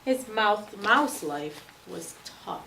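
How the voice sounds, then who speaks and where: A woman schoolteacher reads a children's picture book aloud expressively, with dramatic pauses and emphasis for young listeners. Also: medium pitch, animated, vocal fry, heavy background noise. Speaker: second-grade teacher reading aloud.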